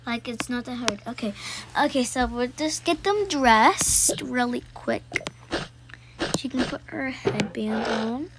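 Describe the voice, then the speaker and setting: Woman, high voice, slow pace, casual mumbled role-play narration, very noisy homemade recording with clicks. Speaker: storyteller voicing toy characters for a homemade video. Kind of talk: improvised doll role-play with spoken asides to viewers.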